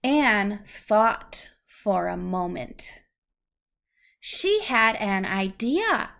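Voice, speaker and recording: A woman's voice: mid-range voice, very animated, drawn-out words, creaky, a bit bright. A woman reading a story aloud to a young child, patiently explaining things in simple, teacherly phrases.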